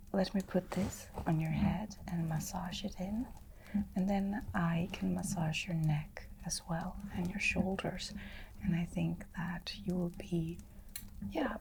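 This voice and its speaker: A kind female innkeeper, played by a woman in an ASMR roleplay, whispering softly and soothingly. Her voice sits low with a gravelly edge, and she takes her time over every phrase. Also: noisy background. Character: kind, caring female innkeeper tending a guest.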